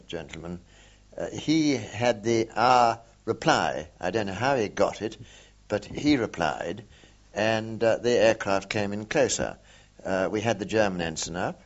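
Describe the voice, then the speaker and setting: An older man, a war veteran, recalling events in an oral history interview, hesitant with many pauses and fillers. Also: low-pitched. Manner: hesitant, many ums and uhs, measured. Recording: dull lo-fi recording.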